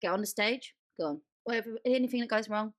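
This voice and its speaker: A woman's voice: on the high side, a little fast, no room sound, clean studio sound. A woman co-hosting a podcast in casual chat, speaking informally and with emphasis. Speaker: podcast co-host.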